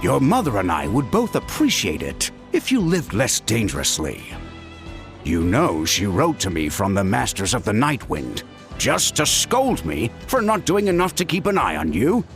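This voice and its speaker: An expressive scolding uncle. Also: male voice, mid-range voice, normal speed. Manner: warm-hearted scolding, expressive. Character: caring, scolding uncle, middle-aged man. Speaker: game character. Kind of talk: video game voice acting. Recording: game audio with soft music.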